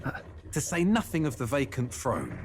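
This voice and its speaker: Foreboding, very expressive traveller. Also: male voice, mid-range voice, normal speed. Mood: ominous. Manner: grave, foreboding. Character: gloomy, worldly male traveller warning of trouble. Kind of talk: fantasy video game acting.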